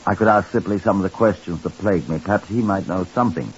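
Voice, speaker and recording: A man narrating a mystery in the first person as the detective, with dry, measured storytelling in old-time radio style. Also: low-pitched, lightly acted. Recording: muffled old radio recording with hiss.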